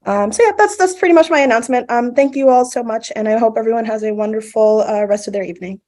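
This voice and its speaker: A woman's voice: fairly high pitch, quick pace, a little mellow. A young woman from a city agency giving a short, friendly announcement at a public meeting, with frequent ums. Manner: friendly, casual, lots of ums. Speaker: government agency representative. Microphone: video call.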